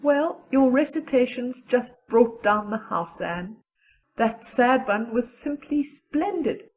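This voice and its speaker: A woman's voice: on the high side, lively intonation, slow, darkish tone, rough recording. A woman playing a practical, chatty, loyal teenage girl with a matter-of-fact, gossipy manner in an audio drama. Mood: admiring, chatty.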